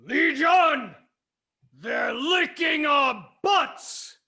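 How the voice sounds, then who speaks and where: A furious orc commander in a fantasy comedy read. He shouts slow, drawn-out orders in a forceful, gravelly voice. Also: very high voice, expressive, heavily acted. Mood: furious, commanding.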